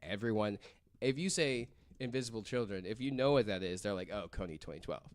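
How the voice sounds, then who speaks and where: A man's voice: lowish voice, expressive, fairly quick, no echo. A man presenting a story on a casual podcast, reading from notes and rambling through asides peppered with "like".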